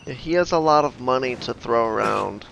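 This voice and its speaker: A woman's voice: very deep voice, animated, normal speed, gravelly, highs cut off, a little hiss or hum. A woman giving casual, offhand commentary as she plays through a video game. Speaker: gamer reacting during playthrough.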